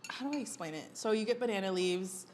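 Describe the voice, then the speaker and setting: A woman's voice: medium pitch, quick pace, slight background noise. Chatty young woman hosting a meal. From United States. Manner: chatty, animated storyteller, laughs often. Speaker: host. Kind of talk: casual chat over a meal. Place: indoor dining table set with food. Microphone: lapel mic.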